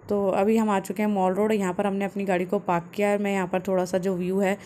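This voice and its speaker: A woman, calmly narrating a family travel vlog as a voice-over. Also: mid-range voice, fast, a little hiss or hum. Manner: calm, explanatory, steady. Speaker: travel vlogger.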